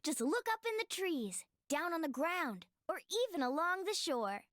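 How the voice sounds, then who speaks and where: Very high, sweet little cartoon child. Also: female voice, very animated, moderate pace, a bit bright, no echo, studio quality, exaggerated voice. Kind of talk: scripted rhyming children's game narration.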